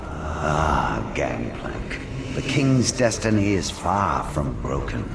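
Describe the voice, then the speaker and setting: A man's voice: a bass voice, very animated, slow pace, vocal fry, background noise, full character voice. An actor playing a sinister, silky schemer who coaxes a fallen king in a video game, grand and theatrical. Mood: calm, scheming. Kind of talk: dramatic video game cutscene acting. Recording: echoing voice over game music.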